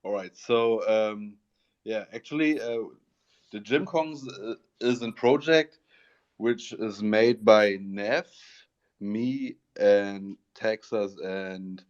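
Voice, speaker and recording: A man with a German accent, a guest in an online audio room, talking haltingly as he searches for English words. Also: on the low side, darkish tone. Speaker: guest, project founder. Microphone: online voice call. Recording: muffled online voice call.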